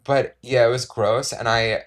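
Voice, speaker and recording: A man's voice: medium pitch, steady tone, measured pace. A man co-hosting a podcast, telling stories in a candid, gossipy casual chat, often saying "like". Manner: candid, gossipy storytelling with frequent "like". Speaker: podcast co-host.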